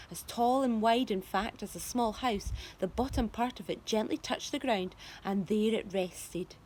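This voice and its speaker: A woman teacher reading a children's novel aloud to her class, expressive storytelling. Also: medium pitch. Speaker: primary school teacher.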